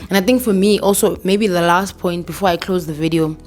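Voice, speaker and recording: A woman's voice: fairly low pitch, a little fast, darkish tone, soft background music. Candid South African woman vlogging. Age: 30s. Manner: candid, code-switching, swears, laughs. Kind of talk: casual talk to camera.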